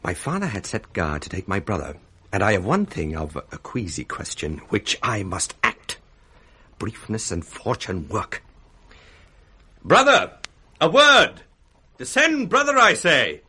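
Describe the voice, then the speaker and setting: An actor playing a scheming, false young brother with a British accent in a 1960s radio Shakespeare drama. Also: male voice, on the low side, huge pitch swings, measured pace, sharp tone, breathy edge, exaggerated voice.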